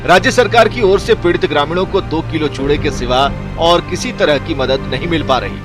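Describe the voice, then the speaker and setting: A man's voice: fairly high pitch, brisk, over music. A man delivering scripted news narration as a voice-over reporter, formal in manner.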